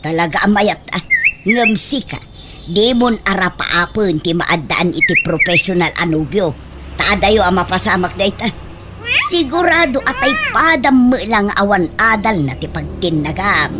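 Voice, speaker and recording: A woman's voice: mid-range voice, big pitch swings, moderate pace, close to an everyday voice. A woman playing a harsh, belittling middle-aged mother in a comedic radio drama, scolding in a scornful, nagging way. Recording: loud background noise.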